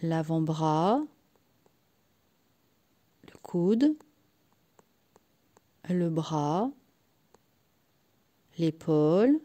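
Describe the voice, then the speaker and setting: A woman leading a guided yoga nidra relaxation in a soft, sometimes breathy voice, soothing and unhurried, leaving long pauses between short phrases. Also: sits low, expressive. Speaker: guided meditation and sophrology practitioner.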